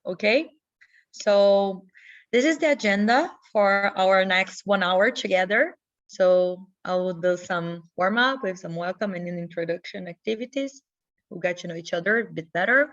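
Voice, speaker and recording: A woman's voice: mid-range voice, moderate pace, dull audio. A young Brazilian woman hosting an online info session over a video call, explaining steps in a friendly, instructive way with small slips. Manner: friendly, instructive, non-native English with slips. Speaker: webinar host.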